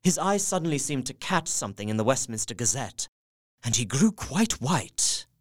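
An actor playing an earnest, newly resolute young husband, reading his journal in a measured, literary way for an audio drama. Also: mid-range voice, big pitch swings, dry recording, studio quality. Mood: touched, reflective.

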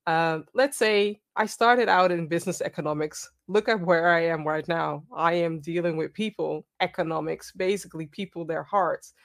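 Female moderator, lower voice, upbeat and affirming. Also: normal speed, hardly any pauses. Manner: enthusiastic, affirming. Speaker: panel moderator. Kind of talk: moderating a panel.

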